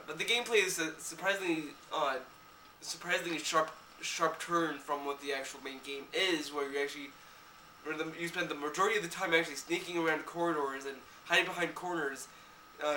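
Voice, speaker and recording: A man's voice: on the high side, bright, forceful tone, roomy sound, recorded from a distance, slight background noise, rough recording. A young man, a little sick, giving a rambling casual review of a game, with many restarts and fillers.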